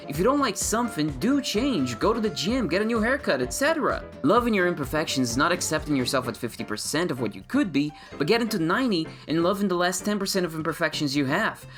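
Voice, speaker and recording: A woman giving casual, sarcastic commentary on game mods, with playful mock-dramatic rants. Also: on the low side. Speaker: gaming video creator.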